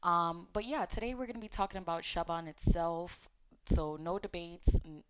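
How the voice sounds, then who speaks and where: Woman, slightly low voice, casual teaching talk, encouraging, fillers and self-corrections. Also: fairly even intonation, moderate pace, clean tone, rough recording. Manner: gently encouraging, speaks directly to viewers. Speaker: host of an Islamic teaching channel.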